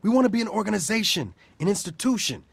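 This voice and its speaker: Animated, eager male schemer. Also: fast talker, gravelly, slightly rough, no echo, heavily acted. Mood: enthusiastic. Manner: eager pitchman, casual. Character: enthusiastic, scheming young male crime entrepreneur.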